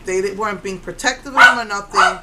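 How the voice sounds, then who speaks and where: A woman in her fifties telling a story in an animated, indignant way, with a strong voice. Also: mid-range voice, fast talker, very noisy. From Puerto Rico. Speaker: vlogger. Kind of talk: casual storytelling rant.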